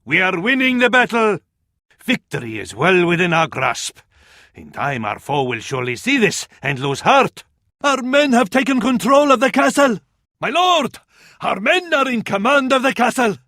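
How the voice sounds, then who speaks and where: An actor playing a devout, grandiose advisor to a sultan in a video game, with an Arabic accent and flowery, dramatic delivery. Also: male voice, sits high, very animated, moderate pace, pushed and bright, no room sound, studio quality, heavily acted. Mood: exultant. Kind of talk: theatrical video game voice acting.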